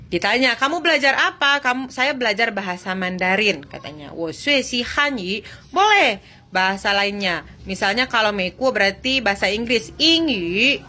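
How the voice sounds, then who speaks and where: A woman teaching a Mandarin lesson, reading example phrases slowly and explaining each one, now and then correcting herself and checking that it is clear. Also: fairly high pitch, lively intonation, sharp tone. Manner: self-correcting, checks understanding with "jelas?". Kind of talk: slow language lesson, reading and translating example sentences.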